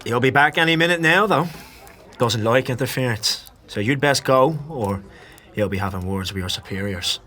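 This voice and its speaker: An actor playing a mocking, foul-mouthed tavern gang boss in a dark fantasy audio drama, curt and sarcastic. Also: male voice, mid-range voice, moderate pace, lightly acted. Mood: smug, threatening.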